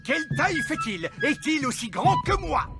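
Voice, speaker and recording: A blustering, animated cartoon king. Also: very high-pitched, moderate pace, over loud music, heavily acted. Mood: impatient. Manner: pompous, impatient. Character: blustering, self-important fairy king. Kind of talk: cartoon voice acting.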